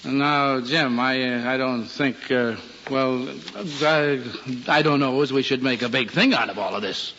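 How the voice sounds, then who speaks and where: A man's voice: medium pitch, a little slow, few pauses, sharp tone. A man playing a kindly, teasing middle-aged policeman father with an American accent, in old-time radio drama style. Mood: flustered, reluctant. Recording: dull, hall-like vintage radio recording, noisy.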